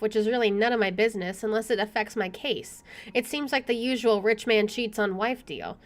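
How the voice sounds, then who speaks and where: A woman's voice: medium pitch, fairly bright tone. A woman hosting a gaming video, expressively reading story text aloud and adding casual asides.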